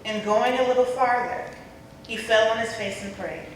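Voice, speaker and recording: A woman's voice: on the high side, fairly even intonation, moderate pace. A woman narrating a dramatic scripture reading in a church. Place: church during a service. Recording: echoey church hall, distant mic, faint noise.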